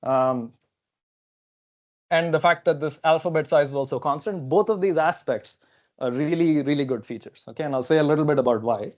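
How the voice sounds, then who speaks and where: A man's voice: fairly high pitch, brisk, highs cut off. A young man whose first language is not English, giving a technical seminar talk at a whiteboard in a lecture hall, casual and thinking aloud with frequent okays and fillers, on a lapel mic. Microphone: clip-on lapel mic.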